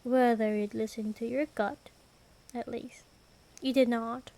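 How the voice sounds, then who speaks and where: A woman playing a commanding, predatory yet protective vampire queen in an intimate ASMR roleplay, deliberate and teasing. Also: fairly high pitch, fairly even intonation, dark tone. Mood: amused, knowing. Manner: slow, deliberate, teasing, imperious.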